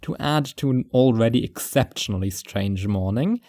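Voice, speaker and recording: A man playing a reclusive, paranoid surveillance watcher who narrates his own story in a wry, deliberate, musing way. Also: mid-range voice, lively intonation, warm tone, dry recording, close to an everyday voice. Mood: reflective. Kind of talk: first-person fiction narration.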